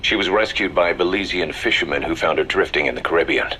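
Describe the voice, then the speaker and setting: An actor playing a calculating mercenary officer who gives an intelligence briefing in a video game drama, measured, serious and matter-of-fact. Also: male voice, deep voice, sharp tone, slight background noise. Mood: grave, calculating.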